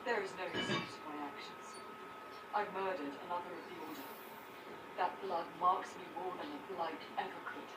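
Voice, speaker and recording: A woman's voice: on the low side, moderate pace, close to an everyday voice. An actress playing a resolute, guilt-broken senior warrior woman in a video game, recorded echoey and distant with noise. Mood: remorseful, resolute. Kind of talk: dramatic video game acting.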